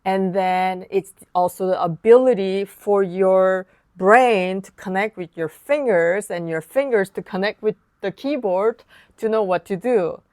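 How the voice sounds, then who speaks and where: Woman piano teacher, Korean native speaker, slow unhurried delivery, dry close sound. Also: medium pitch, dry recording. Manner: calm, unhurried explaining with casual fillers. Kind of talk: online lesson talk.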